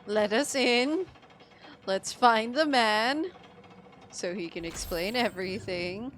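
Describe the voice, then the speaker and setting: A woman's voice: on the high side, takes it slowly, fairly bright tone, a little hiss or hum. A woman from the Philippines doing casual live gameplay commentary as a streamer, playful and slangy. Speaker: game streamer.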